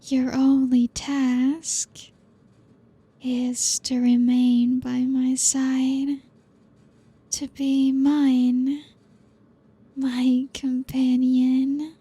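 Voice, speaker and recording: A woman voicing a possessive, seductive female vampire in an ASMR roleplay, speaking softly and slowly as she dotes and commands. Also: high-pitched, completely flat delivery, breathy edge, heavily acted. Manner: slow, soft, doting and controlling.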